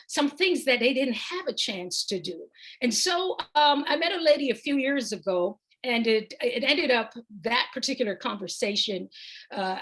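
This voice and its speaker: Animated Jamaican-accented woman speaker. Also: fairly high pitch. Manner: animated, chatty, jokes and greets audience. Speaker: conference speaker. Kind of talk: lively conference talk.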